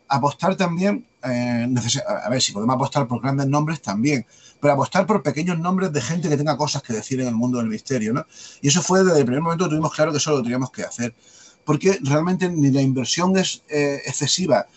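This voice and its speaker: A man with a light Andalusian accent, a guest talking at length in a rapid, discursive way and tagging on questions. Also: mid-range voice, a little hiss or hum. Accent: light Andalusian Spanish. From Andalusia, Spain. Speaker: guest, publisher and author. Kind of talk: conversational interview answers.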